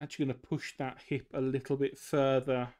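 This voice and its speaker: A man's voice: medium pitch, flat delivery, a little fast, darkish tone. Man rambling through a drawing tutorial. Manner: rambling, loose explanations with many fillers. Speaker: streamer teaching drawing. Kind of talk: casual live-stream art tutorial.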